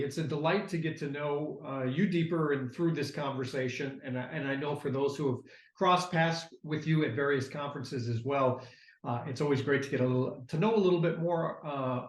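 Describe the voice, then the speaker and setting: A man hosting an interview, asking long, rambling questions that often restart midway. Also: a little high. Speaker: interview host. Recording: roomy echo.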